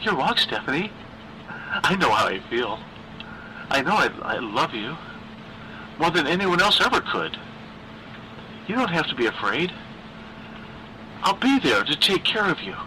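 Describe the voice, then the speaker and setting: The ghost of a lonely teenage boy, pitched high and piercingly bright. He pleads in a halting, childlike, insistent way, obsessively attached, as in an audio horror tale. There is noise behind the voice. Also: sing-song, heavily acted, rough recording. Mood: tender, obsessive.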